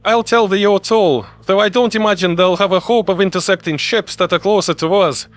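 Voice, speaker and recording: A man's voice: high-pitched, fairly even intonation, a little fast, no echo, pitched above the performer's own voice, close to an everyday voice. A man voicing a worried human officer aboard a warship in a sci-fi audio story. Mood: worried, urgent. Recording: music behind the voice.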